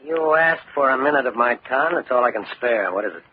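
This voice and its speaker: An actor playing a gruff, impatient company tycoon in an old-time radio drama, curt and domineering. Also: male voice, mid-range voice, animated, a little fast, background noise, natural voice. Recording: muffled old radio recording.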